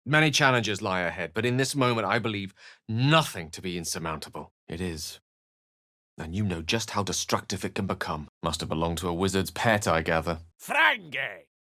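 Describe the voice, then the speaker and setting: A learned male wizard from a fantasy video game, speaks in a fairly low voice with very animated intonation. His phrasing is literary and theatrical, with a wry edge. The recording is dry and free of room sound. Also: normal speed, no echo, exaggerated voice. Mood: incantation. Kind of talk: fantasy game dialogue and narration.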